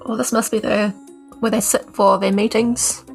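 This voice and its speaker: A woman's voice: medium pitch, level tone, normal speed, creaky. A woman talking casually as a player in a tabletop role-playing game. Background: background music.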